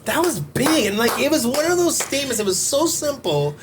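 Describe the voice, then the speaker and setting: A man co-hosting a podcast, animated and exclamatory, often restarting his sentences. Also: very high voice, brisk, slight background noise. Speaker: podcast co-host. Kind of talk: casual motivational chat.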